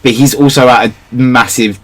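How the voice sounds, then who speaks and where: A man's voice: mid-range voice, fairly even intonation, moderate pace, a bit bright, rough recording. A man hosting a podcast in a casual discussion, giving his opinions in an analytical way. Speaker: podcast host.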